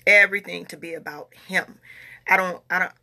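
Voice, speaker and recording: Gravelly, earnest woman in her forties. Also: fairly low pitch, steady tone, a little fast, pushed and bright. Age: 40s. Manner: earnest, repeats herself, talks in a Southern US dialect. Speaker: vlogger. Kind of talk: casual talk to followers.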